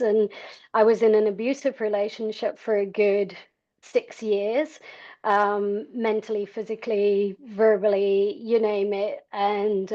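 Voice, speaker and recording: A woman's voice: mid-range voice, darkish tone. A middle-aged woman from South Africa telling her personal story in a frank, conversational, self-deprecating way as an interview guest. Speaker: podcast guest, endurance cyclist. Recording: dull, lo-fi audio.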